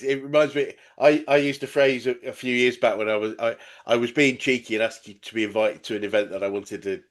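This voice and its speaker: A man with a Northern English accent, a business coach interviewing a guest, encouraging and often stumbling over restarts. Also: lowish voice.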